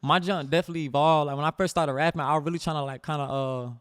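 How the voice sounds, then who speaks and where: Brief: young male rapper, light, slightly high voice, quick and loose, filler-heavy guest chatter. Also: steady tone, clear, resonant tone, no echo, clean studio sound. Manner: laid-back, rambling, heavy on fillers like "and stuff". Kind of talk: casual interview chat.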